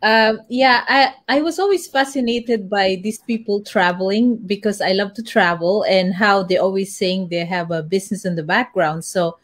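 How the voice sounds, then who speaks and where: A woman from the Philippines, a guest on a live video talk, chatting in a lively casual way. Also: mid-range voice, moderate pace, few pauses. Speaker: guest, business owner. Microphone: video call.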